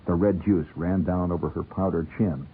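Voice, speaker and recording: A man's voice: sits low, steady tone, normal speed, a little hiss or hum. A middle-aged American man hosting a radio show and telling a humorous story conversationally, with a wry, theatrical manner that savors ornate wording. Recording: muffled narrow-band old radio broadcast.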